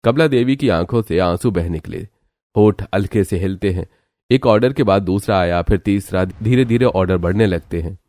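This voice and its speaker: A man's voice: sits low, normal speed, darkish tone, no echo, studio quality. A man narrating a scripted cartoon family story.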